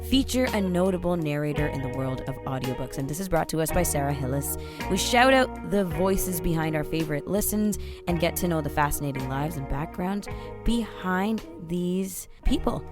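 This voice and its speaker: A woman hosting a podcast, chatting in a casual, upbeat way with quick asides; her first language is Tamil. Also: on the low side. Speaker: podcast host.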